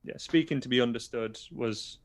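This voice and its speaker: A man's voice: mid-range voice, moderate pace. A young adult man with a toned-down Yorkshire accent, a guitar teacher talking casually in an interview and explaining things plainly with frequent restarts. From Yorkshire, England. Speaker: guitar teacher and online video creator.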